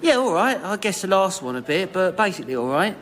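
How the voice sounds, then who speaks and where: A man's voice: high voice, sing-song, quick pace, a bit bright. A man playing a hapless, mild-mannered, dim but earnest fellow in a radio comedy sketch, hesitant and matter-of-fact. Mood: blankly sincere.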